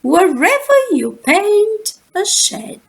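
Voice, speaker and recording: A woman's voice: very high-pitched, very animated, takes it slowly, voice pushed up, full character voice. A woman voicing an eccentric, cheerful, self-assured old grandmother in a storybook, with a theatrical sing-song manner. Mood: exclaiming.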